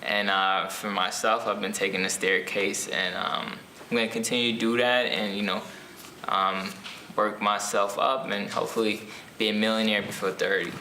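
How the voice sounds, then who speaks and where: A young man from Ethiopia, an author answering interview questions casually, pausing often with ums and restarts. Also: fairly low pitch, level tone, pushed and bright, reverberant, slight background noise. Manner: hesitant, many ums and restarts.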